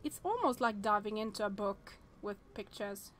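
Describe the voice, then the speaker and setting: A woman's voice: mid-range voice, lively intonation, moderate pace, a bit bright, a little hiss or hum. A woman in her thirties streaming a visual novel, chatting and thinking aloud with hesitant restarts. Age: 30s.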